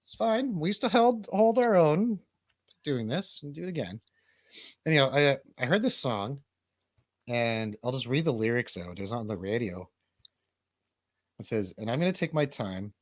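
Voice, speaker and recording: A man's voice: mid-range voice, animated, moderate pace, long pauses, dry recording, studio quality. A man hosting a livestream radio show with casual, comedic chat, rambling and swearing irreverently.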